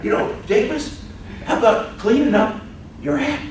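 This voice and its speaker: A man's voice: extremely high pitch, a little slow, very noisy, pitched above the performer's own voice. A man playing an ancient, fussy male angel who rambles and giggles while teasing a crowd, recorded from far off in an echoey event room.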